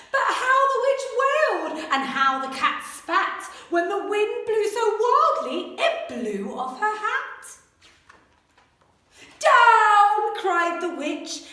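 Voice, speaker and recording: A woman teacher reads a rhyming children's story aloud in a bright, lively voice that swoops up and down. She takes it at an unhurried pace, and the echoey room rings around her. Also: high voice, recorded from a distance. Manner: expressive, animated storytelling.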